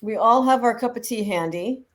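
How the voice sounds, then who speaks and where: A woman's voice: a little high, moderate pace, fairly bright tone. A woman hosting an interview in an upbeat, chatty way. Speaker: host.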